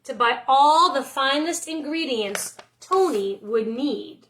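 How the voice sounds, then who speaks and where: A woman teacher reading a picture book aloud in expressive narration, with deliberate, drawn-out phrases. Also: high-pitched, a little hiss or hum.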